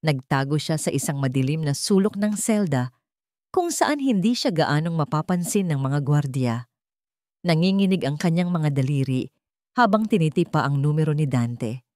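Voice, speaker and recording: A woman storyteller with a slightly low voice reads scripted fiction. Her narration is dramatic, and the recording is very dry. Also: lively intonation, moderate pace, darkish tone, no echo.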